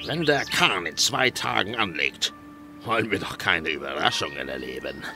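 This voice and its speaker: An actor playing a hot-tempered, greedy and scheming gang leader in an audio drama, theatrical and drawn-out. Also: male voice, sits low, animated, gravelly, noisy background. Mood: brooding.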